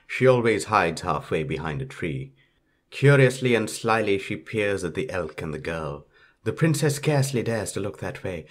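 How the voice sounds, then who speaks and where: A man reading a fairytale aloud as a storyteller, in scripted narration. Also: sits low.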